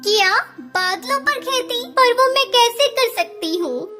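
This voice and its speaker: A woman's voice: very high-pitched, lively intonation, slow, over music, exaggerated voice. A woman voicing a meek, hardworking mother bird in a children's cartoon, with a pleading, deferential, emotional manner. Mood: earnest, deferential. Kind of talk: Hindi children's cartoon story acting.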